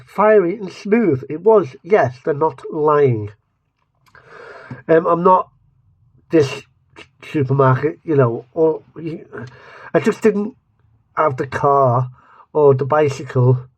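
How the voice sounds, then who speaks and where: A man's voice: on the high side, expressive, slow pace, pauses often, breathy edge, no echo, poor audio. A man with a lisp giving a rambling, joking taste-test review, often restarting his sentences. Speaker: vlogger reviewing mustards. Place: home studio.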